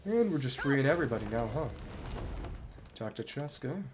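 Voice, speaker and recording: Casting: live gameplay commentator, medium pitch, muttered running remarks and read-aloud text, noisy recording with clicks. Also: animated, moderate pace. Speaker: video game streamer. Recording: clear background noise, clicks.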